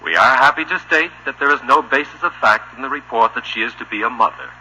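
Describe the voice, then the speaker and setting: An actor playing a solemn, stuffy newspaper voice with an American accent, reading formally and deadpan in a 1950s radio show. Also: male voice, medium pitch, fast talker, highs cut off. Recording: old radio recording with hum.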